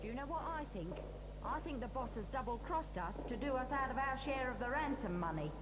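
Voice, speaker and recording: A coarse, indignant mother in a gang of crooks. Her voice is high and lively and full of scolding. Heavy tape hiss sits behind her. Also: a little fast. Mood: grumbling. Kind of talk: 1980s audio drama acting.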